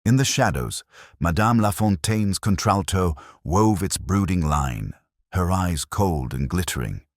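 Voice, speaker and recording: Very deep, husky male storyteller. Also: expressive, no echo, clean studio sound. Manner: solemn, theatrical, deliberate phrasing. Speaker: audiobook narrator. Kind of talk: dramatic scripted narration of a period mystery.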